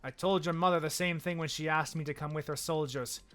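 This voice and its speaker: A man's voice: on the high side, steady tone, fairly quick, a bit bright, faint music behind, close to an everyday voice. A man putting on a blunt Irish village guardian woman. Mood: gruff. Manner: blunt, defiant, plain-spoken. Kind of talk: reading game dialogue aloud.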